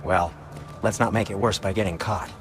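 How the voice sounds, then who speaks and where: A man's voice: fairly low pitch, steady tone, moderate pace, close to an everyday voice. An actor playing an adult male dwarf craftsman in a video game, talking in a wry, conversational way. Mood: reassuring. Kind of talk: naturalistic video game acting.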